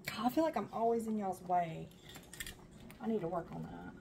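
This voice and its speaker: Woman, home cooking vlogger, gravelly edge, chatty and self-deprecating, noisy kitchen audio. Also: mid-range voice, normal speed. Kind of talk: casual cook-along narration. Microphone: camera overhead, speaker partly off frame.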